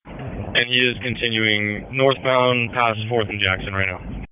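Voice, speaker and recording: Over a police radio with faint vehicle noise, a male officer gives short factual reports. His low-set voice has a bright, forceful edge. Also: fairly even intonation, a little slow, rough recording. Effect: police radio transmission.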